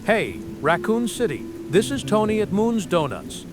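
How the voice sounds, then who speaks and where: A man playing a friendly donut shop owner giving a folksy local radio pitch. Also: big pitch swings, measured pace, background noise. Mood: upbeat pitch. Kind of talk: local radio commercial in an audio drama.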